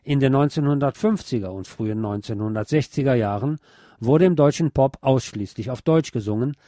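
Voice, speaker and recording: Male narrator, mellow and dry-recorded, measured formal delivery of a written essay. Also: mid-range voice, no echo. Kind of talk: scripted spoken essay on pop history, read aloud.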